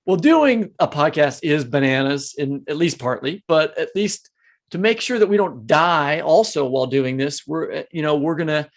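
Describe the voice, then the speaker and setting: Male podcast co-host, higher register with heavy vocal fry, conversational and jokey. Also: animated, normal speed.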